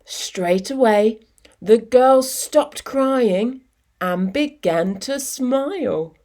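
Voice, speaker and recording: A woman reading a children's story expressively, with measured phrasing and dramatic pauses. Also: on the high side, expressive, a little mellow.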